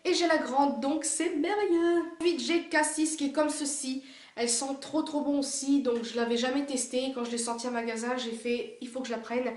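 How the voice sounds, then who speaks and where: A woman's voice: sits high, steady tone, moderate pace, darkish tone, roomy sound. A young woman vlogger with a cold, chatting casually and gushing, with lots of fillers. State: has a cold. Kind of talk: casual chat showing a collection. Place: indoor room by a window.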